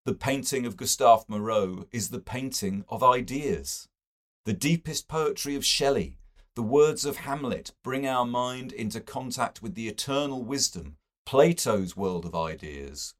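A man's voice: mid-range voice, measured pace, voice pushed up, close to an everyday voice. A man reading a novel and voicing a solemn mystic poet who makes lofty, earnest pronouncements.

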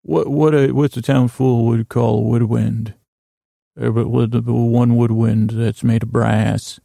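A man's voice: medium pitch, flat delivery, unhurried, warm tone, no room sound, clean studio sound. A man hosting a solo podcast in a rambling, stammering monologue, often interrupting himself and drifting off with mock-earnest, self-deprecating whimsy. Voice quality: creaky, gravelly. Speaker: podcast host.

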